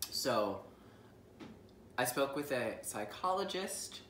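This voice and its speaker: A woman's voice: rumbling low, very animated, takes it slowly, a bit bright, a little hiss or hum. A young transgender woman explaining her experience in a casual chat, candid and full of ums. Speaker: guest. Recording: echoey room, mic at a distance, faint ambience.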